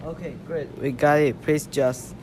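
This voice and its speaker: A man's voice: a little high, steady tone, a little slow, natural voice. A man playing an earnest, polite but impatient young rabbit police officer in an amateur radio play. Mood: impatient, exasperated. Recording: noisy amateur recording.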